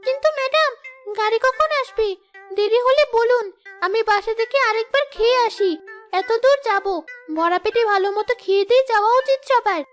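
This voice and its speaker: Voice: very high-pitched, brisk, a bit bright, faint music behind. A performer voicing a young ghost schoolgirl in a children's cartoon, polite and eager. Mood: worried.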